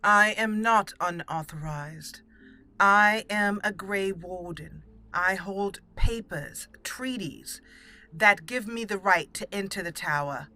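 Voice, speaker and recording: A woman voicing a haughty, stern elf mage in fantasy roleplay, measured and imperious. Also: medium pitch, pushed and bright, faint music behind.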